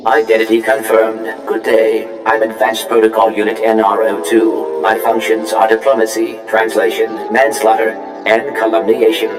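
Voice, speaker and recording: A formal, coldly sinister protocol droid from a video game. The robotic delivery is low and utterly flat. It rings with reverb from a distance over music. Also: male voice, moderate pace. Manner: formal, deadpan. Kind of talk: video game character acting. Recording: distant, echoey reverb under loud music.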